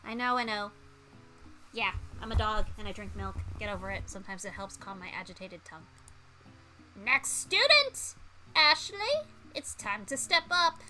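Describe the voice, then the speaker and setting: A woman's voice: mid-range voice, sing-song, normal speed, sharp tone, soft background music, low-quality recording. A woman voicing a fussy, grumpy male dog professor who judges cooking in a visual novel, theatrical and indignant. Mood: defensive, commanding.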